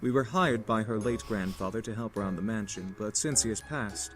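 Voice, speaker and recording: A courteous, composed young gentleman servant, voiced by a male actor. His voice is fairly low and his speech formal and polite. It is visual novel game acting with music behind. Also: a little fast, natural voice.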